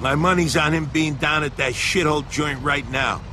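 A brash American gangster played by a man with a fairly high voice. He talks like an easygoing street buddy in a crime game, swearing casually, over clearly noisy game ambience and engine sound. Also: moderate pace, lightly acted. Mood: confident, scheming. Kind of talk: video game voice acting.

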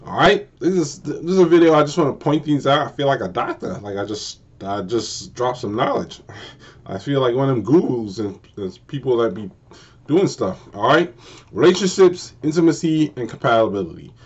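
Forties male vlogger, mid-pitched, casual and repetitive, lo-fi bedroom camera-mic sound. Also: expressive, breathy edge. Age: 40s. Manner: repetitive, emphatic, slowing down to stress points. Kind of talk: casual unscripted advice monologue. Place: small bedroom, indoors. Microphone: built-in camera mic at desk distance.

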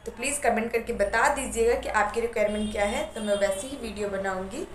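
A woman's voice: mid-range voice, quick pace. A young woman vlogger with a sore throat, chatting and apologizing directly to her viewers from a small room at home. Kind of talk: casual chat to camera. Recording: echoey room, faint background noise.

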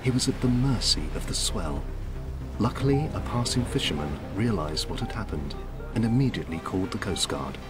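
A male narrator reads a documentary script in a low voice that rises and falls a great deal. Music plays clearly behind him. The delivery is measured and dramatic. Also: animated.

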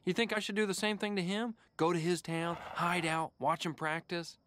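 A man with an American accent voicing an eager, cocky young race car in an animated game. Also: high voice, moderate pace, slight background noise. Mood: cocky, curious.

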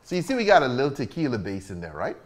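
A man's voice: medium pitch, huge pitch swings, racing, slight background noise. A man with an American accent hosting a cocktail show, bantering in casual chat with jokes and cursing. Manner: joking, profane banter. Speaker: bartending show host.

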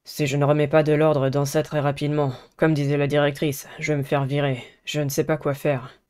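A woman's voice: low voice, level tone, brisk, darkish tone, smooth, pitched below the performer's own voice, natural voice. A woman voicing a stressed, earnest teenage boy, a student delegate in a visual novel game.

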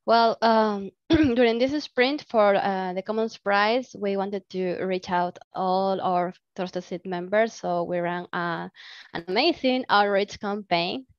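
A woman's voice: medium pitch, slow pace, no room sound. A woman in her thirties giving enthusiastic updates on a video call, with many fillers. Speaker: team member presenting updates. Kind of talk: casual meeting update. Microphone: laptop mic on video call. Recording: dull lo-fi call audio.

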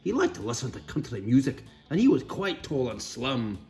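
A man reading a children's storybook aloud in a playful, expressive way with cheeky asides. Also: medium pitch, moderate pace, faint music behind. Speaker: storybook reader. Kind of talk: animated read-aloud for children.

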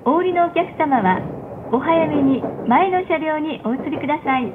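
A woman with a high voice makes a formal, scripted announcement to train passengers. She enunciates politely and carefully. The sound is thin and phone-like, with clear train noise running behind her. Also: expressive, normal speed. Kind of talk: scripted recorded onboard announcement. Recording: tinny muffled playback, loud train noise. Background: rumbling train carriage.

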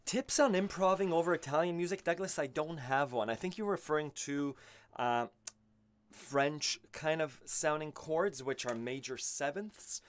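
A man's voice: on the high side, a little slow. A man in his thirties, an accordion teacher, chatting casually and animatedly on a live stream and often correcting himself. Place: small home studio, indoors. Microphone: studio mic on a stand. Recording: accordion playing beneath the voice. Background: his own accordion playing.

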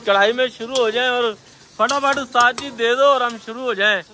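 A man's voice: very high-pitched, normal speed, bright, forceful tone, slight background noise. A man hosting a casual food vlog, joking and bantering with friends at an open-air restaurant kitchen at night. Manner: chatty, jokey banter with friends. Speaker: vlogger host.

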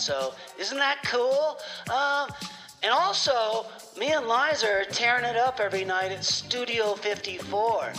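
A performer playing a rambling, laid-back caller leaving halting, chuckling messages in comedy radio theater. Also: mid-range voice, close to an everyday voice, rough recording. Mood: rambling, casual. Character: Jimmy, rambling laid-back clone leaving phone messages, name-dropping partygoer. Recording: music playing behind the voice.

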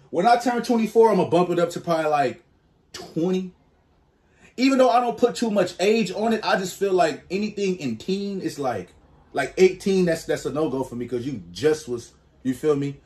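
A man in his twenties doing casual reaction commentary on a headset mic, slangy and animated, jumping to high exclamations. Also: moderate pace. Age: 20s. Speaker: reaction streamer.

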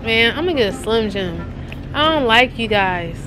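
A woman's voice: a little high, expressive, normal speed, background noise. A young adult woman chatting casually with friends on a handheld vlog camera. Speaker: vlogger. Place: inside a vehicle and a convenience store at night. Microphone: handheld vlog camera at arm's length. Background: music.

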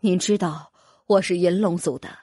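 A woman's voice: on the low side, steady tone, moderate pace, warm tone, slightly rough, dry recording, studio quality. A woman voicing an anxious dragon noblewoman in a fantasy audiobook, earnestly recounting a frightening ordeal with apprehension. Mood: nervous, polite.

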